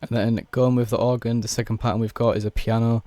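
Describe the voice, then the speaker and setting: A young man with a hoarse, worn voice, casually walking through a music production tutorial step by step with plenty of fillers. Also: medium pitch, level tone, quick pace, dark tone. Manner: laid-back, explains step by step with many fillers. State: hoarse, losing his voice.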